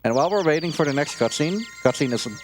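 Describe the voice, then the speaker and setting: A man giving casual, rambling live commentary as a speedrunner, halting at times while he plays. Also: a little high, animated, music in the background, poor audio. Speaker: speedrunner commentating own run.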